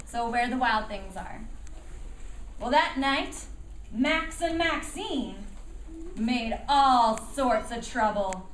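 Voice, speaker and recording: A woman's voice: a little high, lively intonation, takes it slowly. A woman narrating a live puppet show for children, animatedly coaching and prompting them as she tells the story. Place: echoey room at a school puppet show. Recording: echoey, distant mic, noisy room.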